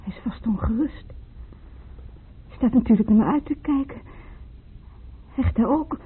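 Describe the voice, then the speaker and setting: A woman's voice: fairly high pitch, moderate pace, husky. A woman acting a fragile, confused young wife in hospital in a radio drama. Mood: dreamy, frail. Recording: muffled phone-like old radio recording.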